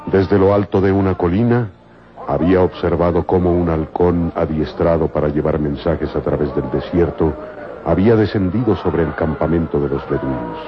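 A man's voice: low-pitched, normal speed, close to an everyday voice. A man acting as the solemn, suspenseful narrator of an adventure radio serial, with declamatory scripted delivery. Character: solemn dramatic male storyteller of an adventure serial. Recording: dull old radio recording with music.

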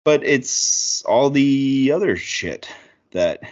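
A man's voice: mid-range voice, lively intonation, slow, dull audio. A man in his thirties co-hosting a podcast, talking casually with lots of fillers and swearing. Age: 30s. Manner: rambling, profane, lots of fillers. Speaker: podcast co-host.

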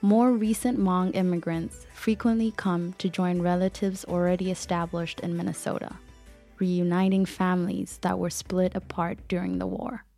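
A woman's voice: fairly low pitch, flat delivery, moderate pace, gravelly, mellow. A woman from Ethiopia reading scripted narration as the host of a podcast. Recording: music under the voice. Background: background music.

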